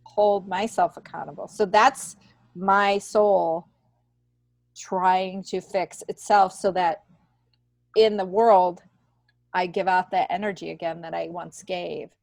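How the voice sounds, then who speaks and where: A woman's voice: fairly low pitch, steady tone, slow, gravelly, darkish tone, no echo. A middle-aged woman speaking candidly and reflectively in an informal interview, repeating points for emphasis. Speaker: podcast guest, stand-up comedian.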